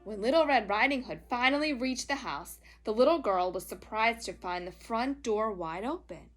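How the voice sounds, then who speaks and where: A woman storyteller reading a children's tale in an expressive, dramatic, emphatic style. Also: on the high side, moderate pace, soft background music.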